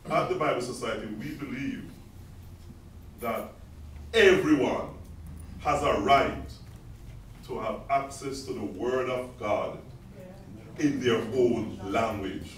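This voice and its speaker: A man's voice: mid-range voice, slow, long pauses, slight background noise. A man speaking for a Bible society in a preaching style, putting rhetorical questions to the audience. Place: large echoey reception hall. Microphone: distant room mic. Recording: echoey hall from far off.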